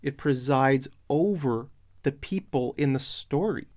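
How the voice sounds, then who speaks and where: A man with a hoarse, failing voice giving casual online Bible commentary, posing pointed questions to his audience. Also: medium pitch, darkish tone. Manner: slow and deliberate. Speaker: religious video commentator.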